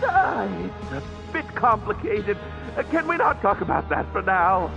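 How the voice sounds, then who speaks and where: A man's voice: high voice, very animated, a little slow, vocal fry, muffled recording, over music, exaggerated voice. A man playing a timid, apologetic young man in a video game, hesitant and politely stammering. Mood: flustered, apologetic. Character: timid, flustered, apologetic young man hiding away, pleading. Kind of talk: video game character dialogue.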